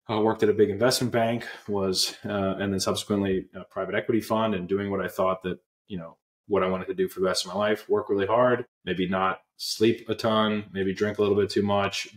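Deep-voiced young man on a video call. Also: echoey room. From Pennsylvania, USA. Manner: earnest, reflective, many fillers and restarts. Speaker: guest, app co-founder. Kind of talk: casual interview storytelling. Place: small room indoors. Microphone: earbud headset on video call.

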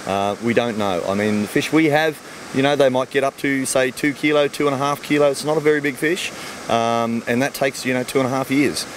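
A middle-aged Australian man explains his fish farm in a relaxed, plain way, talking at a brisk clip. He speaks into a handheld mic outdoors beside the ponds, with a faint hum of ambient noise behind him. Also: mid-range voice. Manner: easygoing, explains simply with everyday comparisons. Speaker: fish farmer. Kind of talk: outdoor interview answering kids' questions. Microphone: handheld mic held by interviewer.

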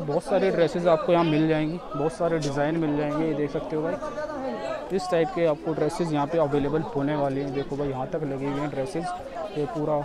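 A man giving a casual market tour as a vlogger, often repeating himself and addressing viewers as brother, recorded outdoors in a street market. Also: a little high. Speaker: vlogger and host. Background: crowd chatter.

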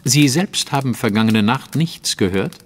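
An actor playing a courteous, self-assured detective with a formal, probing way of speaking, in a German audio drama. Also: male voice, medium pitch, animated, normal speed, breathy edge, long reverb tail.

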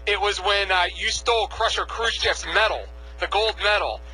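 A man's voice: sits high, normal speed, bright, forceful tone, slight background noise, low-quality recording. A man hosting a radio interview, asking long, conversational questions. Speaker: radio show host.

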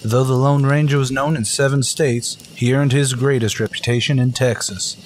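A man's voice: mid-range voice, fairly even intonation, moderate pace. A man narrating an old-time radio western in a solemn, grandiose, dramatic style. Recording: faint background noise, ambience.

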